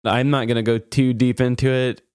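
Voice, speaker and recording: A man's voice: medium pitch, level tone, normal speed, darkish tone, clean tone, no echo, clean studio sound. A man co-hosting a podcast, telling funny stories in a casual, conversational way. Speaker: podcast co-host. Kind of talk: casual comedic storytelling chat.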